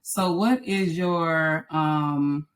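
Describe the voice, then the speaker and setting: A woman's voice: low-pitched, extremely slow. A woman hosting a casual interview, chatty and welcoming as she talks. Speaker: interview host.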